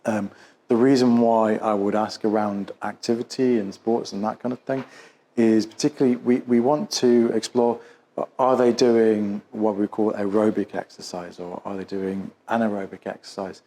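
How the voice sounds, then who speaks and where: A man's voice: on the low side, flat delivery, normal speed, dark tone. A man working as an osteopath and instructor, questioning and explaining in a hesitant way, often repeating and rephrasing his words. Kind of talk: case history questioning and explanatory teaching.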